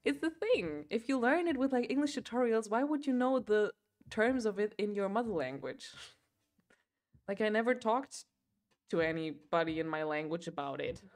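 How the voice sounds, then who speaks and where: A young woman talks loosely through a crafting livestream at an ordinary pitch. She rambles and scatters "like" and "um" through her sentences, laughing often between thoughts. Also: animated, normal speed, darkish tone. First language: German. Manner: chatty, rambling, filler-heavy, laughs often. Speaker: livestream host. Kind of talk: casual chat while crocheting.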